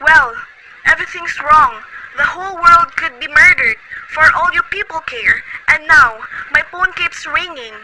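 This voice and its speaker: Girl doing a shrill nervous invalid lady stuck in bed, whiny and frantic, very high voice, loud hiss behind. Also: moderate pace, lightly acted. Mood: complaining. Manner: frantic, complaining, near tears. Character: bedridden nervous woman, shrill and demanding. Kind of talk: student radio play reading. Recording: loud background noise.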